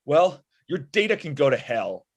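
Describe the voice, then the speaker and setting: A man's voice: fairly high pitch, big pitch swings, moderate pace, husky. A man in his thirties playing a sensible, exasperated boss trying to manage a robot in a comedy table read. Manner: earnest, exasperated. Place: indoor room at home, video call. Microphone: video call.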